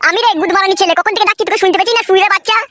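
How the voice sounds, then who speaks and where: A woman's voice: extremely high pitch, steady tone, rapid-fire, a bit bright. A woman voicing a henpecked, whining, foul-mouthed young husband in a comedy cartoon, with a rural West Bengal accent. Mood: cross, insolent. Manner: whining, complaining, coarse-tongued.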